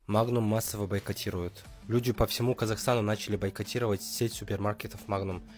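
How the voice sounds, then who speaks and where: Guy host with low voice doing news-style commentary, emphatic, faint music under. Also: fairly even intonation, fast, darkish tone. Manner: emphatic, opinionated. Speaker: channel host.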